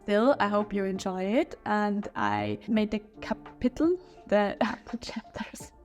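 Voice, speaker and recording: A woman's voice: medium pitch, wildly swooping pitch, slow pace, faint music behind. A woman whose first language is German speaks English with a German accent, hosting a history channel in a casual, opinionated video review with small slips and restarts.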